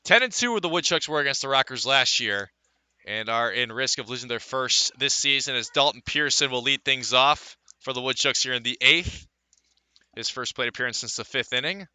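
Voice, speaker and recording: A man calling live baseball play-by-play for radio, mixing pitch-by-pitch description with conversational asides. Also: expressive, moderate pace, bright, forceful tone, clean tone, no echo. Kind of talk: live sports commentary.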